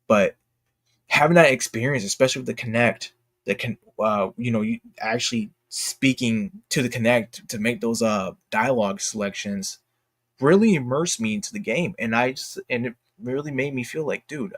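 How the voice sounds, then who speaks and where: A man's voice: medium pitch, fairly bright tone, dry recording. Blunt man with a very dry sound. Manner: halting, repeats phrases for emphasis; blunt, emphatic. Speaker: streamer and commentator. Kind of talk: casual opinionated livestream commentary.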